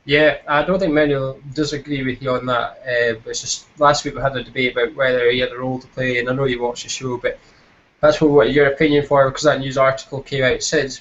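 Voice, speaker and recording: A man's voice: medium pitch, slight background noise. A Scottish man casually hosting a football fan chat show in a self-deprecating, jokey way. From Scotland. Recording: dull lo-fi voice chat.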